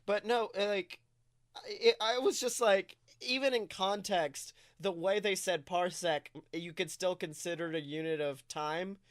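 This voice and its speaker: A man co-hosting a casual film chat, talking in an excitable, slangy way and swearing freely. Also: high-pitched, unhurried, no room sound, clean studio sound. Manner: self-described nerd, animated. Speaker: podcast co-host.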